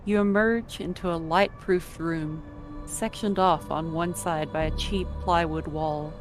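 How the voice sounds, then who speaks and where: A woman reads scripted story narration, addressing the listener as the main character. Her voice is somewhat low. Music plays clearly behind her. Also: steady tone, measured pace.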